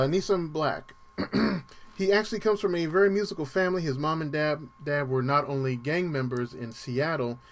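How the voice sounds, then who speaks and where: A man with a dry voice hosts a music show, chatting loosely about the week's playlist. His pitch sits right in the middle of the range. He fills pauses with ums and stops now and then to clear his throat. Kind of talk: casual radio-style show talk.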